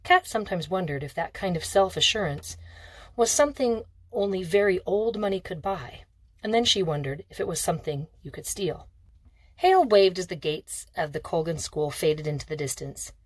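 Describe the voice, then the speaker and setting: A woman reading a novel aloud as expressive scripted narration. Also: fairly low pitch, moderate pace, dry recording. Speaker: audiobook reader.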